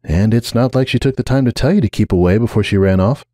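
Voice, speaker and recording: A man's voice: lowish voice, fast talker, dark tone, no echo, studio quality, voice pushed up, close to an everyday voice. Man voicing a concerned, firm female unicorn pony. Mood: cautious.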